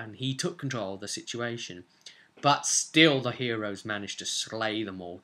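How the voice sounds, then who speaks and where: A young man with a British accent talks casually to the camera like a game master retelling a session. His voice is bright and forward, at an ordinary male pitch. He is chatty and leans hard on key words. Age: young adult. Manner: chatty, emphatic, gesturing storyteller. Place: small indoor home room, seated at a desk.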